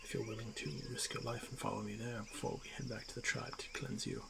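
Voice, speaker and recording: A man playing a stoic, honor-bound orc warrior who is gently protective, speaking in hushed, halting, formal phrases for a fantasy ASMR roleplay. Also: mid-range voice, little pitch movement, gravelly. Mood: apologetic, gentle. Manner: hushed, halting, formal speech with frequent pauses. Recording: noisy track with night insect ambience. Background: crickets and insect ambience.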